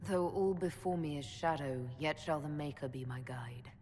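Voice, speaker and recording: A woman acting as an earnest game heroine with a low, subdued voice. She sounds devout, in hall reverb with faint noise behind her. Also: moderate pace, darkish tone, natural voice. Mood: solemn. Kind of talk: dramatic game cutscene acting.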